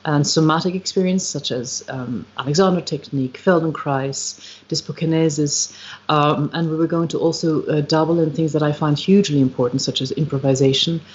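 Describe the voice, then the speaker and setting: A woman's voice: deep voice, steady tone, a little fast, darkish tone. Reflective Irish woman, lo-fi stream. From Ireland. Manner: reflective, searching for words, frequent ums. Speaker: guest, musician and retreat founder. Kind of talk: podcast interview, thoughtful conversation. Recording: slightly dull, lo-fi livestream audio.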